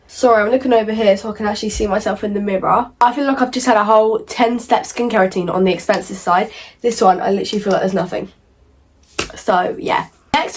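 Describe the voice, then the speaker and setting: A young woman, croaky from a cold, chatting casually through a makeup tutorial with lots of "like" and "um". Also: mid-range voice. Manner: chatty, rambling, many fillers like "like" and "um". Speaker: beauty vlogger. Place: at home in front of a mirror.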